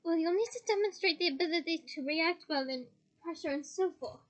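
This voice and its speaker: Lady doing a strict high voiced teacher from a storybook, firm, emphatic, won't back down. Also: a little fast. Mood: brisk. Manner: stern, emphatic, ringing.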